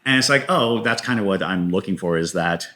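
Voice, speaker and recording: A man speaking as a guest playwright in a conversational interview, thinking aloud in a halting way and often acting out little quotes as he explains. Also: deep voice, animated, bright, forceful tone. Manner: halting, thoughtful storytelling with self-quotes.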